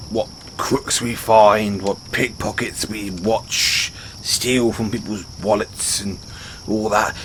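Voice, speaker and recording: A man playing a gruff but good-hearted orc barbarian in a fantasy audio roleplay, bashful and loyal, stumbling over his words in halting speech. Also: on the low side, fairly bright tone, a little hiss or hum, exaggerated voice. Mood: earnest admiration. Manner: halting, fumbling speech with sheepish stammers. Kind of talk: fantasy audio roleplay, intimate one-sided dialogue. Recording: outdoor night ambience with crickets behind.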